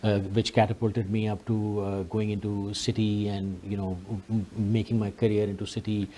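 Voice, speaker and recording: A middle-aged man with a Pakistani accent tells his story as a guest on a talk show. His voice is fairly low and noticeably mellow. He speaks courteously, in a conversational way. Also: flat delivery, normal speed, slight background noise. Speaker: interview guest.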